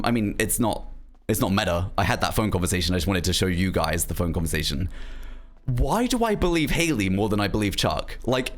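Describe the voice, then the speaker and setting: Young man, streamer, casual rambling chat, animated pitch, easy laughs. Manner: rambling, lots of "um" and "like", laughs easily.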